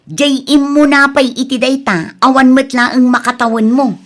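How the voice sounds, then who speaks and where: A woman's voice: sits high, normal speed, natural voice. A woman playing an overburdened, reproachful daughter and elder sister in an audio drama, earnest, with an Ilocano accent. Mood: exasperated, reproachful.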